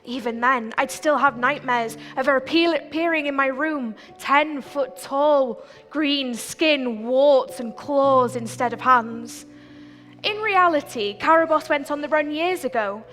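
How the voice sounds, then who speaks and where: An earnest young pantomime princess with a high, bright voice. She speaks meekly but tells her tale readily, ringing out in a reverberant hall. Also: unhurried. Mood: storytelling. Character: young princess, earnest, meek, chatty storyteller. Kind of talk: pantomime stage acting and narration. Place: theatre stage. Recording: hall reverb, live stage production.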